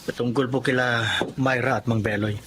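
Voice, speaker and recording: An actor playing a principled adult son in a radio drama, respectful and pleading yet firm. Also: male voice, mid-range voice, steady tone, moderate pace, noisy background, close to an everyday voice. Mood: earnest pleading. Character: earnest, principled adult son, husband and father.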